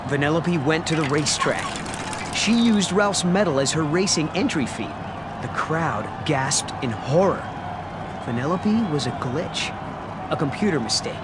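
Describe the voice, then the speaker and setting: A man narrating a children's story from a script, with expressive storytelling emphasis. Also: a little high, unhurried, heavy background noise.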